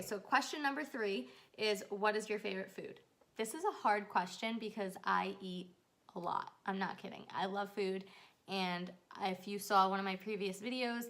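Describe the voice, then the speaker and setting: A woman's voice: mid-range voice, vocal fry, a bit bright. Chatty young woman vlogger. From New York. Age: 20s. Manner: chatty, rambling, with fillers. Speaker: YouTuber. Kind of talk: casual get-to-know-me vlog.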